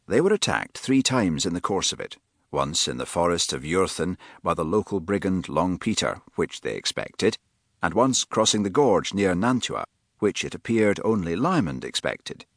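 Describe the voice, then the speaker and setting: A man reading an audiobook with measured, expressive narration, recorded close on a studio mic. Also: sits low, dry recording. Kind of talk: scripted narration.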